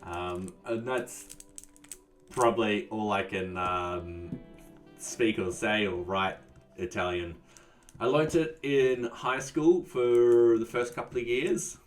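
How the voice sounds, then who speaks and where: A man's voice: fairly low pitch, slow, distant mic. A man hosting a casual live coding stream, answering chat in an easygoing way that often trails off. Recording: voice a bit off-mic, soft music behind.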